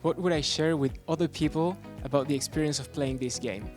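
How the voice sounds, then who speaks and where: A man's voice: a little high, brisk. Man reflecting on a game, searching words. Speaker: game player. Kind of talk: interview. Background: background music.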